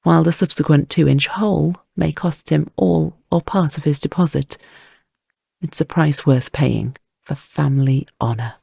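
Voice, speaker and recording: A man's voice: a little high, measured pace, vocal fry, darkish tone, breathy edge, no echo, studio quality. A man narrating scripted crime fiction as an audiobook, with wry, literary storytelling.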